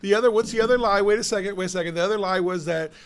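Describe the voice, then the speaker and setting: A middle-aged man talks in a casual co-host chat, his voice raspy and gravelly with plenty of fry. He sits a little high in pitch and tells his stories with animated, chatty energy. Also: very fast. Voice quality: raspy today. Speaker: co-host, city official.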